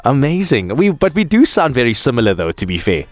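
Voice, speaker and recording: A man's voice: medium pitch, very animated, fairly quick, no room sound, faint music behind. A man hosting a morning radio show, chatty and friendly with callers in a studio. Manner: friendly, chatty, lots of fillers. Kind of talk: upbeat radio call-in banter.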